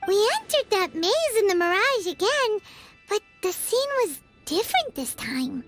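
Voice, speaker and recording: Anime game fairy sidekick: very high, squeaky, animated, childlike and curious. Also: female voice, a little slow, full character voice. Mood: chatty. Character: tiny childlike floating fairy companion, curious, chirpy. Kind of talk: anime video game dialogue. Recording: game music behind voice.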